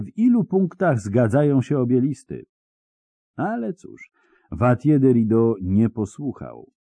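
A man's voice: fairly low pitch, mellow, no echo, pitched above the performer's own voice. A man voicing a cruel, mocking middle-aged male interrogator who taunts his prisoner in a cold, sneering way. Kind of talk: audiobook character reading.

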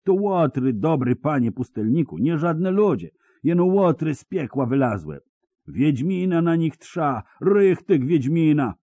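A man's voice: fairly high pitch, sing-song, a little slow, mellow, dry recording, voice pushed up. A man voicing a frightened, agitated old peasant with rustic, old-fashioned speech. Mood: insistent.

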